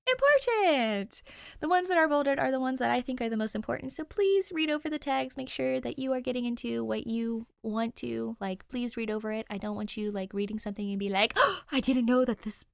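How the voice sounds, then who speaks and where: A woman hosting and reading fan fiction aloud in an informal, bubbly, expressive way. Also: fairly high pitch, normal speed, creaky, clear, resonant tone, dry recording, clean studio sound. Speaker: host and reader of a fan fiction podcast. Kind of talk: casual intro chat and expressive fiction narration.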